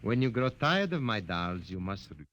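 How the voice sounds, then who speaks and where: An actor playing a boastful, mysterious master dollmaker in a 1950s radio drama, speaking in grandiose, formal phrases. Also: male voice, lowish voice, big pitch swings, normal speed, a bit bright, highs cut off. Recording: old 1950 radio recording, faint music.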